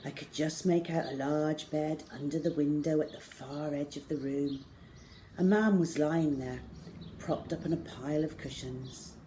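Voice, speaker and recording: Storyteller: middle-aged female, low register, very slow measured read for a young audience. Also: steady tone, dark tone, a little hiss or hum. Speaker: storyteller for schoolchildren. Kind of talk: reading a children's novel aloud. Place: small plain room indoors.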